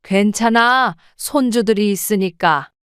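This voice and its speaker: A woman's voice: mid-range voice, unhurried, fairly bright tone, clear, resonant tone, no room sound, studio quality, lightly acted. A woman voicing a weary older mother. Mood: weary, gentle. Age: 60s. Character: older mother, gentle and worn down. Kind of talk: audio drama acting.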